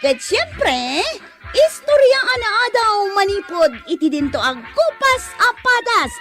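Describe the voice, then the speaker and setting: Ilocano lady doing a show intro, really high and swoopy, music under it. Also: big pitch swings, measured pace, a bit bright. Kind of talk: animated scripted show introduction.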